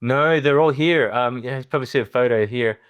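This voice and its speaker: A middle-aged man with an Australian accent, speaking conversationally as a podcast guest, haltingly, often repeating words and restarting. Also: mid-range voice, smooth. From Perth, Western Australia. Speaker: interview guest, surgeon.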